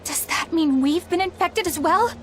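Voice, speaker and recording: A woman's voice: very high-pitched, moderate pace, a bit bright, a little hiss or hum. A woman playing an earnest, proper young crewmate in a video game, polite and inquisitive. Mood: concerned questioning.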